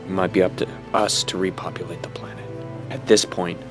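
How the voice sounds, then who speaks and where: Smug, sleazy teenage male, deep monotone delivery over music; man acting, audio drama. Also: brisk, whispered, close to an everyday voice. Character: Reggie, crude, cocky, lecherous teenage boy. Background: music behind the voice.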